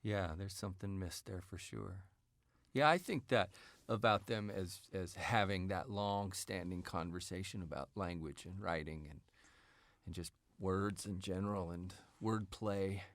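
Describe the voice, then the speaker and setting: A man's voice: low-pitched, a little slow, a bit bright, dry recording. A man in a casual podcast discussion, talking in informal, loosely rambling phrases.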